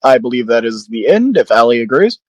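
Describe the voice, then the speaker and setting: A man's voice: mid-range voice, very animated, a little fast, no room sound. A man playing a tabletop roleplaying game, moving between in-character roleplay and casual chat. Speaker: voice actor.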